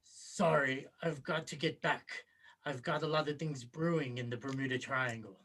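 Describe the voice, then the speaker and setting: A man playing a shifty, nervous impostor in a table read, halting and evasive. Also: mid-range voice, fairly even intonation, fairly bright tone. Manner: halting, stammering. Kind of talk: film script table read.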